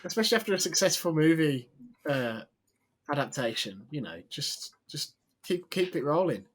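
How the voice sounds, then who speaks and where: A man's voice: fairly high pitch, very animated, moderate pace, a bit bright. Hedging man speculating casually. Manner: speculative, hedging with "I think" and tag questions. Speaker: podcast co-host. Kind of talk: casual chat.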